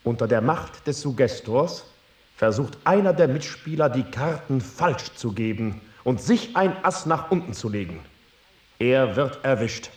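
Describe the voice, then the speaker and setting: Man acting a slow, swooping volunteer reading aloud. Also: medium pitch, lively intonation, lightly acted. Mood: obedient, declaiming. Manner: deliberate, declaiming, phrase by phrase. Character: male stage volunteer obediently reading out card-game notes. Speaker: actor. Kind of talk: reading notes aloud in radio drama. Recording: echoing stage hall sound.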